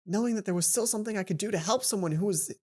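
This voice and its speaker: A woman hosting a let's play, reading a visual novel aloud and adding chatty, informal, animated asides. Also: medium pitch, racing. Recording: music behind the voice. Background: game music.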